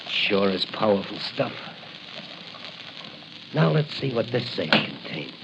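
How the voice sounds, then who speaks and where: A man's voice: fairly low pitch, big pitch swings, takes it slowly, gravelly, exaggerated voice. A man playing a bold, wry masked crime-fighter in 1940s radio acting style, confident and theatrical. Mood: intent, musing. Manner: confident, theatrical, talks to himself. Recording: scratchy, hissy, muffled 1940 radio recording.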